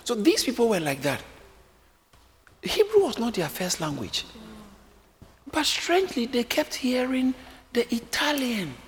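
Man preaching, very lively pitch, acts out crowd voices, echoey hall, faint noise. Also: a little slow, long pauses. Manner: animated, acts out crowd voices, jokes with congregation. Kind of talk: sermon.

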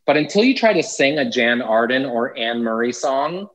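A man's voice: medium pitch, animated, moderate pace. A Canadian man, an actor guest on a chat show, wry and self-deprecating. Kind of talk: casual interview chat. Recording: dull lo-fi sound.

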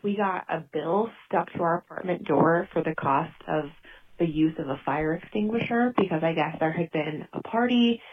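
A woman's voice: deep voice, normal speed, breathy edge. A woman leaving a voicemail as a listener, casually telling a personal anecdote. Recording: muffled, noisy voicemail message.

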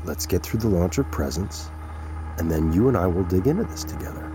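Exasperated tech colleague, deepish lively voice, pragmatic, protective, naturalistic radio drama, faint music. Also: male voice, a little fast. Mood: placating. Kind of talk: naturalistic audio drama acting.

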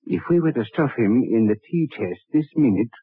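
A male actor as a poor, calculating murderer in a vintage radio drama. He is husky and deliberate, coaxing his way toward dark deeds. The recording is very dry and phone-like. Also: medium pitch, lively intonation, no room sound, lightly acted. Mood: sly, menacing. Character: cold-blooded, scheming poor body-seller turned murderer. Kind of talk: old-time radio drama acting.

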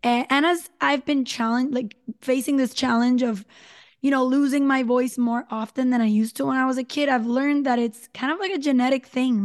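A woman in her thirties with a hoarse voice, telling her own story as a guest in a podcast interview, chatty and full of "you know" and "like". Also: high voice. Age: 30s.

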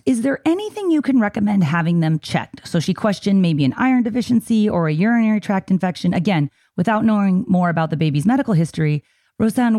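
Woman host, chatty, lively pitch, warm tone, dry close mic. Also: dry recording. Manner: chatty, relays a listener's question, then gives her own advice. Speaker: podcast host. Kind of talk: conversational podcast interview.

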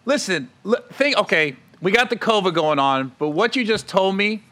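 A man's voice: high-pitched, expressive, moderate pace, bright, forceful tone. A man hosting a casual, joking interview in a teasing, colloquial way. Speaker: interviewer, show host.

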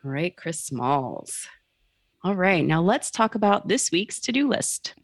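A woman's voice: fairly low pitch, sing-song, slow pace, no room sound, clean studio sound, faint music behind. A woman co-hosting a podcast, guiding the show along in a conversational style. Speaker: podcast co-host.

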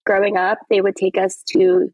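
A woman's voice: medium pitch, little pitch movement, moderate pace, darkish tone, no room sound, low-quality recording. A young woman, an actress guest on a podcast, chatting and telling stories with frequent laughs and lots of "like". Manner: chatty storyteller, laughs often, fillers like "like". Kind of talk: casual interview chat.

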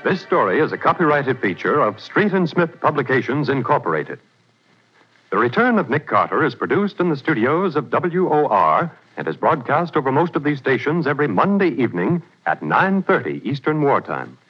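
A man's voice: medium pitch, very animated, moderate pace, soft background music. A male radio announcer reading closing credits in a formal 1940s announcing manner. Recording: dull 1940s radio broadcast.

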